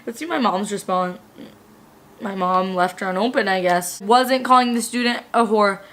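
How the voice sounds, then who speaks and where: A woman's voice: mid-range voice, normal speed, a bit bright. A woman vlogger chatting casually and reading out old school emails, giggly and rambling, full of fillers.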